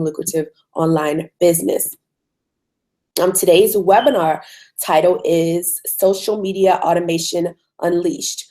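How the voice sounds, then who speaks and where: A woman with a hoarse voice from a cold, hosting a live online webinar in a conversational way with frequent ums. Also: on the low side, dark tone. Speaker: webinar host.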